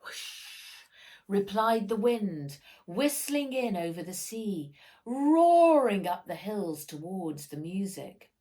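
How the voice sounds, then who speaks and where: A woman reading a children's storybook aloud as its author, with animated, expressive delivery and dramatic emphasis. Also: mid-range voice, slow pace.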